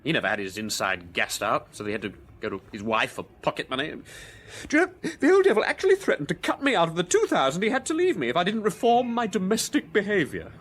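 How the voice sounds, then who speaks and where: An actor playing a bitter, nervy war veteran gentleman in a radio drama, with an upper-class English accent and excitable public-school slang. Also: on the high side, fast talker. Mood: aggrieved.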